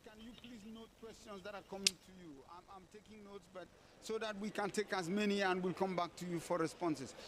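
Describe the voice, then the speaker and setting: A man's voice: sits high, fairly even intonation, fairly quick, few pauses, a bit bright. A man moderating a panel's audience questions in short, directive, unscripted phrases. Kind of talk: brisk event moderation, unscripted.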